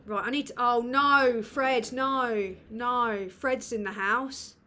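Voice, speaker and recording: A woman's voice: fairly high pitch, takes it slowly, bright, forceful tone. A woman with a British English accent hosting a casual livestream Q&A from a dim room at home, rambling and sweary with lots of ums. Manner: rambling and sweary, with many fillers. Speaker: livestream host.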